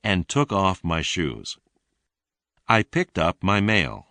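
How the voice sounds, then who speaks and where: A man reading a scripted English lesson in a deliberate, clearly enunciated way. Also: low voice, big pitch swings, pushed and bright, no room sound. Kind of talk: scripted read-along narration.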